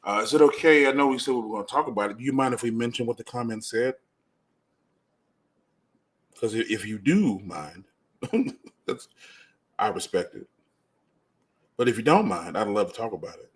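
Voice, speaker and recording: Playful man, animated pitch. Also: moderate pace, pauses often. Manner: joking, teasing, playful. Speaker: co-host. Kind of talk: casual couple chat.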